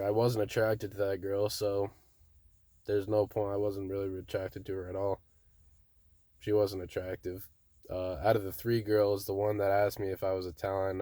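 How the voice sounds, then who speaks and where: A young man casually telling a story to his viewers in a monotone, laid-back way, often saying "bro". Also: lowish voice, no room sound. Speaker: vlogger.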